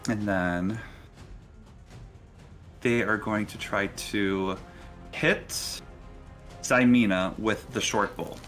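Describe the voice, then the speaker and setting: A man narrating a tabletop role-playing game as game master, pausing hesitantly mid-sentence. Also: on the low side, steady tone, fairly bright tone. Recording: faint background music.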